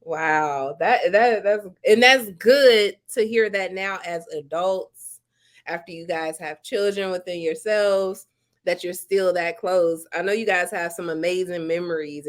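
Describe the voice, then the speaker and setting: Woman host, middle-aged, supportive and affirming in conversation. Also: fairly low pitch. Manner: encouraging, affirming interviewer. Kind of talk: casual interview.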